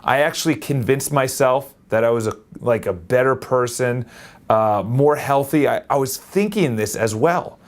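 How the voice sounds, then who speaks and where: Energetic young man rambling to his phone. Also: medium pitch, normal speed. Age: 20s. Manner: energetic, rambling, self-correcting. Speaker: vlogger. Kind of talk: casual talk to camera. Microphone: phone camera.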